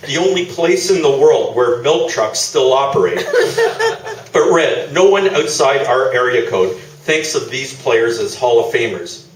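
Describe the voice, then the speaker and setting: A man's voice: mid-range voice, natural voice. Man acting a dry sardonic interviewer, echoey room. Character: skeptical, needling male sports interviewer. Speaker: radio play actor. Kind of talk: comic radio play performed live on stage. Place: small theatre, live performance. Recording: echoey theatre, distant mic, noisy.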